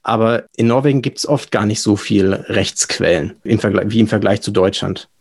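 Husky, creaky young German man. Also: fairly low pitch, steady tone, moderate pace. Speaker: podcast guest, lawyer. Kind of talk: casual explanatory interview talk.

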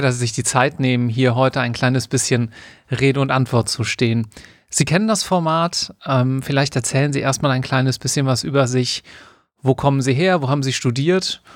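A man's voice: mid-range voice, normal speed, no room sound, clean studio sound. A man hosting a podcast, opening the episode and asking his guest polite, formal questions. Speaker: podcast host. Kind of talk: podcast interview.

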